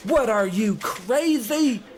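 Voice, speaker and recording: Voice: mid-range voice, expressive, slow pace. An actor playing a brash, jeering office bully who mocks co-workers in an old-time radio comedy style. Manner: jeering, exclamatory. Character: brash, mocking, bullying young postal co-worker.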